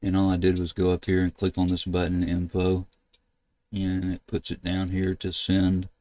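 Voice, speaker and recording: An older American man narrating a casual screen-share tutorial, thinking aloud in a halting way as he works at his computer. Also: low-pitched, completely flat delivery, warm tone. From United States. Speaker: ham radio video host. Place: indoors at a computer desk.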